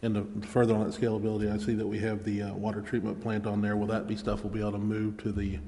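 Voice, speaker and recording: A man, a council member, asking halting questions at a meeting. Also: fairly low pitch, completely flat delivery, warm tone. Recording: echoey chamber with clear hum.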